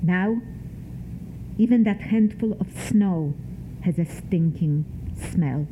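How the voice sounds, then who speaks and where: An older woman from Poland, reading her own memoir in a measured, deliberate way, lingering on single words. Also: on the low side. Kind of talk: slow, measured literary reading. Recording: tinny phone-like sound, faint hiss.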